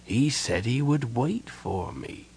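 A man voicing a big, gentle old innkeeper, fatherly and frail, speaking softly and haltingly with a breathy, earnest delivery. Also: lowish voice, lively intonation. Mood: weak, confused.

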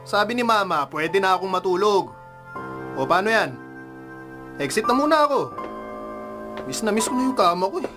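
Radio drama role: cheeky, drunk young rebel son, dismissive and sarcastic, with very lively pitch swings over light music. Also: male voice, normal speed, long pauses. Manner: insolent, sarcastic, brushing people off.

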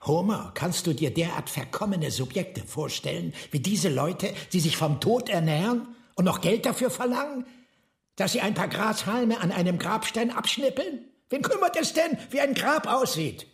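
Radio drama role: indignant eccentric man, emphatic and scornful, swooping pitch with a gravelly edge. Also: lively intonation, moderate pace. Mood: scornful ranting. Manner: ranting, emphatic, bitingly scornful. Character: cantankerous, indignant eccentric brother ranting at the world.

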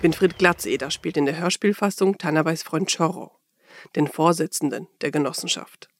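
A man's voice: fairly high pitch, moderate pace, no echo, clean studio sound. A man narrating a radio feature from a script.

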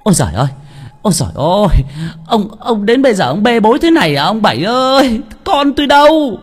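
Người đàn ông giả giọng chị vợ quê gào khóc the thé. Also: male voice, very animated, fairly quick, breathy edge, full character voice. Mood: angry, panicked. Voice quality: shrill. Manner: sobbing, repeating words. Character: distraught shrieking peasant wife, wailing for her child.

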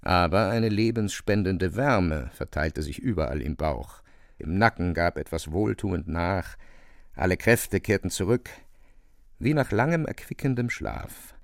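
Deep, gravelly male narrator. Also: expressive, measured pace, no echo, clean studio sound. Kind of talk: scripted literary narration in a radio play.

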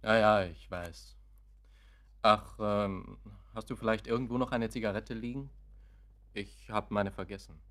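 An actor playing an irritable, evasive, self-pitying grown son in a German radio drama, sullen and snappish. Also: male voice, lowish voice, steady tone, unhurried, pauses often, fairly bright tone. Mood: sullen, weary.

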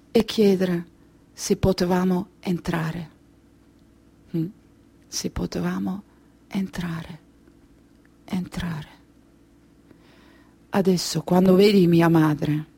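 A woman performing a theatre monologue as a grave, wistful Kurdish refugee, speaking in deliberate, halting phrases. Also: fairly low pitch, vocal fry, mellow, slightly rough, heavily acted. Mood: tender, proud. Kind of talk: slow theatrical monologue storytelling.

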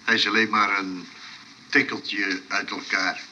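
A man's voice: on the low side, little pitch movement, a little slow, sharp tone, slight background noise. An actor playing a wry man of a comic household in a Dutch radio play. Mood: soothing.

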